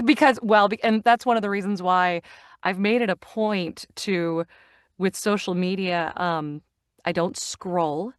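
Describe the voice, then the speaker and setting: A woman's voice: lowish voice, clear, resonant tone, no room sound, clean studio sound. A middle-aged woman talking casually as an interview guest, telling stories and acting out the lines she quotes. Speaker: guest, actor and audiobook narrator.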